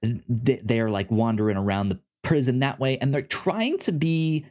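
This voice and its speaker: A man co-hosting a podcast in casual chat, recapping a story in a halting way, often repeating words and syllables. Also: mid-range voice, darkish tone. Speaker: podcast co-host.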